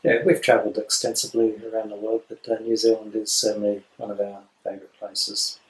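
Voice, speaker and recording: Aussie bloke late fifties, chatty, lots of ums, echoey room. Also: male voice, on the low side, monotone, a little fast. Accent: Australian. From Australia. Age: 50s. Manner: rambling, many ums and restarts, dry jokes. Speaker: house sitter. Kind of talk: casual self-introduction video.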